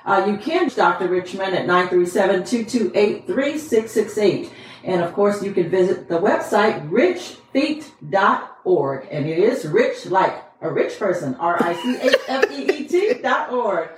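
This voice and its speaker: A woman's voice: medium pitch, big pitch swings, a little slow, recorded from a distance, a little hiss or hum. A woman radio host with an upbeat announcer delivery, spelling out contact details. She sounds set back from the mic in a very echoey space. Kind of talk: radio call-in hosting.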